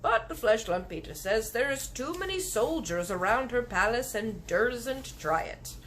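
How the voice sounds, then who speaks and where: Brief: gentle stubborn old giant, slow and lilting, high for a giant, a woman reading it aloud. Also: expressive, a little hiss or hum. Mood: confiding. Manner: slow, mangled grammar and made-up words. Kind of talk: storybook reading.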